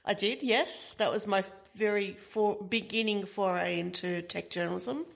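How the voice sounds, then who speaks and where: A hoarse woman co-hosting a tech podcast, friendly and reassuring. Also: medium pitch. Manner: friendly, quick to reassure, laughs. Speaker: podcast co-host, tech journalist. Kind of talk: casual interview chat.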